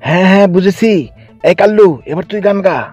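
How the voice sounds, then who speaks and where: A man's voice: sits high, quick pace, a little mellow, muffled recording. A man voicing an indulgent, easily baffled school headmaster in a comic cartoon, exclamatory and flustered. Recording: music behind the voice.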